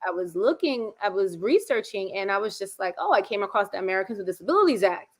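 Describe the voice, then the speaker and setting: Lady host chatting informally, bit raspy, voice half gone from yelling, medium pitch. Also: very animated, fast. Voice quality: a little raspy. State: voice partly lost from shouting. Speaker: host, school educator and coach. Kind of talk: conversational interview.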